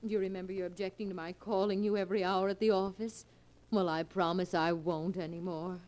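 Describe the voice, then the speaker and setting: A woman's voice: fairly low pitch, fairly even intonation, brisk, a little mellow, lightly acted. An actress playing a smothering, devoted wife, sweetly imploring in a theatrical 1940s radio style.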